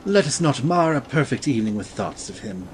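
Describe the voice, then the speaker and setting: An actor playing a suave, languid gentleman swordsman in a dramatized audiobook, speaking in a courtly, formal way. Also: mid-range voice, big pitch swings, a little fast, slight background noise, natural voice. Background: ambient sea sound bed.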